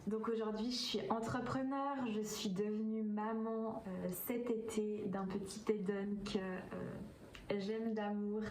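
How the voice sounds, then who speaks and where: A woman with a Swiss French accent speaks casually to her viewers, pausing often on filler sounds. Her voice sits at an ordinary middle pitch. The sound is roomy and a little distant, with a faint hiss behind her. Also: steady tone, darkish tone. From Switzerland. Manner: hesitant, many filler sounds, enthusiastic. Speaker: vlogger and coach. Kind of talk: casual vlog talk to camera.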